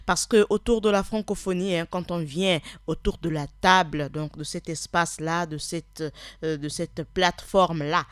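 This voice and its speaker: A woman's voice: fairly low pitch, dry recording. Unscripted woman radio host, dry sound. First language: Punu. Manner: unscripted, hesitant, with restarts and "hein" and "alors" fillers. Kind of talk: radio talk presentation.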